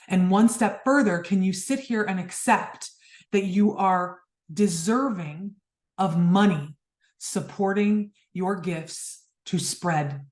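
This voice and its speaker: A woman's voice: lowish voice, darkish tone, reverberant. A woman hosting a spiritual podcast, speaking earnestly and emphatically and repeating phrases for effect. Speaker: podcast host. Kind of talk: spiritual monologue.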